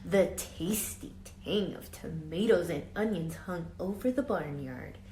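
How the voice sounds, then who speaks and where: A woman reading a children's picture book aloud expressively, with dramatic emphasis and hushed asides, pausing to question young listeners like a teacher. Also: fairly low pitch. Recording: roomy echo with faint background noise.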